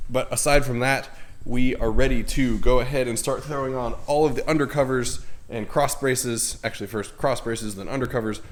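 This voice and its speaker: A man's voice: medium pitch, fairly even intonation, normal speed. A young man with a head cold and a congested voice, chatting casually as host of his car channel in his home garage, rambling and poking fun at himself. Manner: chatty, self-deprecating, rambling with fillers. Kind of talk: casual garage vlog talk.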